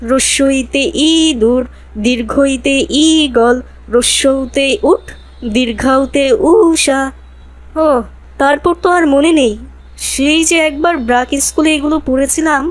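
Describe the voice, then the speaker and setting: A high, childlike cartoon voice for a poor street boy of twelve , acted by a woman. He is humble and earnest, with a plaintive way of talking. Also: dry recording. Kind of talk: cartoon voice acting.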